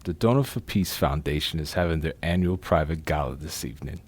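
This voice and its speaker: An actor playing a brash, wisecracking crime boss with slangy, bossy street talk in an audio drama. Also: male voice, extremely low pitch, brisk, breathy edge. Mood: bossy, brisk.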